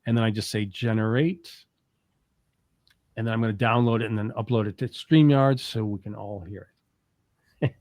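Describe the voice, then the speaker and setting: A man giving a casual live software walkthrough, thinking aloud in a tentative way as he works. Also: on the low side, steady tone, moderate pace, long pauses, dry recording. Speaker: co-host demonstrating software.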